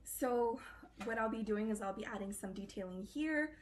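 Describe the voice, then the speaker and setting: A woman's voice: fairly high pitch, normal speed, a little hiss or hum. A woman cosplayer chatting casually in an interview, loose and full of fillers.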